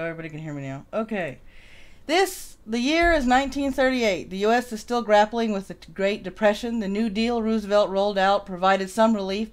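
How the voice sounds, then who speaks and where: A woman with a smooth, clear voice at a middle pitch hosts live. She moves between casual asides and scripted introductions read aloud. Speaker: live show host.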